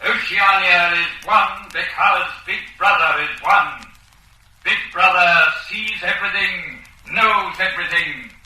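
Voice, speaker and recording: Echoing, piercing totalitarian telescreen voice. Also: male voice, high-pitched, slow pace, heavily acted. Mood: fanatical, proclaiming. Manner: barking, authoritarian, clipped orders. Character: cold, commanding male voice of the totalitarian state's telescreen. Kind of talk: old radio drama acting. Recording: very echoey, distant loudspeaker sound.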